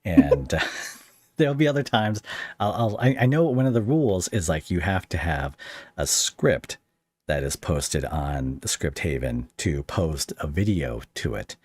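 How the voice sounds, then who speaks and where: Deep-voiced man hosting a casual podcast. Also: animated, a little slow, slightly rough, dry recording. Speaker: podcast host interviewing a guest. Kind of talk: casual conversational interview.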